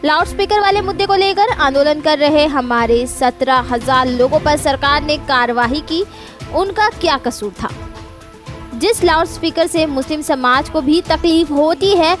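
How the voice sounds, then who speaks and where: An Indian woman narrates a news report from a script. Her voice is very high, and faint music plays underneath. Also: a little slow. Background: faint music bed.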